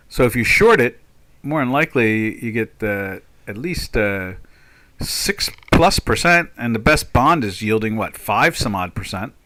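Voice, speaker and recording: A man's voice: mid-range voice, bright, forceful tone. A man in his sixties from South Jersey, with its accent, hosting a live trading chat and thinking aloud in a rambling, chatty way with lots of fillers. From Pinelands of South Jersey. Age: 60s. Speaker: market trader and stream host.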